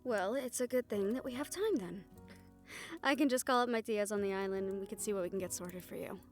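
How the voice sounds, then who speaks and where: A woman acts out a young partner in a supernatural romance audio roleplay. She is caring and playfully teasing, speaking conversationally at a middle pitch. Music plays clearly behind her. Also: a little fast, darkish tone, natural voice.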